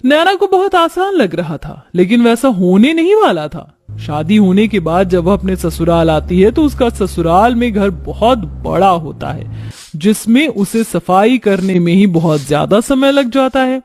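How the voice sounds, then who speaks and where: A man's voice: sits high, lively intonation, a little slow, a little mellow, faint music behind. A man narrating a scripted cartoon family story.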